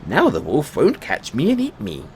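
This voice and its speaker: Man voicing an eager, high-pitched little storybook pig. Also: huge pitch swings, moderate pace, slight background noise. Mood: eager, proud.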